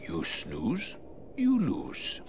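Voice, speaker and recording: An actor playing a wry, wise old rat mentor speaking in deliberate proverbs, in an animated video game. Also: male voice, medium pitch, over music, exaggerated voice. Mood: wry, sage. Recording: clear background noise.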